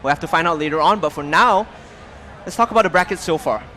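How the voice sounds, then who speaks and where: A male esports caster with a high-pitched voice, calling the action with rising excitement and punchy, loud hype moments. A faint hiss sits under the voice. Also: quick pace. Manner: excitable, builds to loud calls. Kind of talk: play-by-play commentary.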